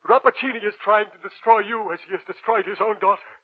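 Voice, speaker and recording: A man's voice: high-pitched, fairly even intonation. An actor playing a concerned older professor, an earnest and solemn fatherly mentor, in a 1940s radio drama style. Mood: urgent. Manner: earnest, deliberate, solemn warnings. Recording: muffled vintage 1940s radio recording.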